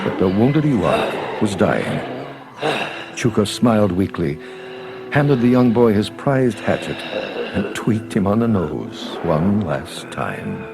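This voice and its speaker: Deep-voiced man narrating a children's adventure. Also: very animated, slow, vocal fry, very noisy. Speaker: storybook narrator. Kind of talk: dramatic scripted children's narration.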